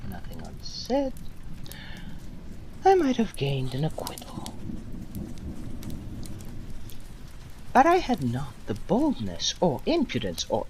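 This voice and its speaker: An old condemned philosopher, played by a woman, addresses the judges in a low voice that rises and falls dramatically. The delivery is slow, solemn and deliberate, serene yet defiant, with long theatrical pauses. It is an amateur drama recording with noise behind the voice. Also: a little mellow, exaggerated voice, rough recording. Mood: reproachful.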